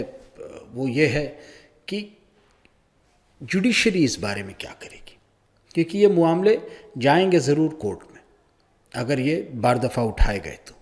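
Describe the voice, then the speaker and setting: A man presenting news commentary in an informal, opinionated way, often pausing mid-sentence. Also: mid-range voice, a little mellow.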